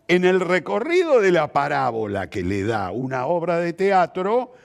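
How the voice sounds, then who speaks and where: Casting: older male, Rioplatense accent, fairly high voice, very varied intonation, interview guest who teaches as he talks. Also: huge pitch swings, unhurried, creaky. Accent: Rioplatense Argentine Spanish. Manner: didactic, emphatic, rhetorical questions. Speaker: interview guest, theatre practitioner. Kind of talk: reflective explanatory conversation.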